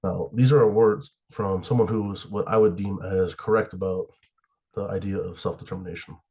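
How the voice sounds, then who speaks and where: A sick young man from the USA, slightly hoarse and raspy, giving a class presentation with many fillers and pauses. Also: deep voice, fairly even intonation, warm tone, lo-fi sound. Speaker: student presenter.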